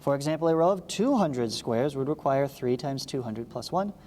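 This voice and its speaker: A man's voice: medium pitch, animated, normal speed, a little mellow. A man teaching math, reading a lesson aloud and adding casual explanatory asides. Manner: explanatory, checks understanding with short asides. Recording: long reverberant echo, clearly noisy background.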